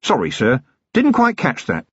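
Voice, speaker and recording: A man voicing a weary, gruff city police commander who talks in a slow, deliberate, menacing way. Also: mid-range voice, sing-song, dry recording, lo-fi sound. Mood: contemptuous.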